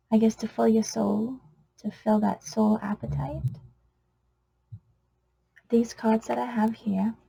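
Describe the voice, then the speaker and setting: Soft-spoken Trinidadian woman, husky and low-fi. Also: highs cut off. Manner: soft-spoken, earnest, often drops to a whisper. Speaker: oracle card reader. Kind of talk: spiritual card reading video.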